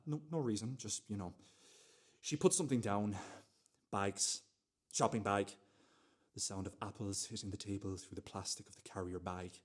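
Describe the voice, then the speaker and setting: An actor playing an anxious, self-justifying man in a radio play monologue, talking in a halting, repetitive, conversational way. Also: fairly low pitch, natural voice. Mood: quiet, tender.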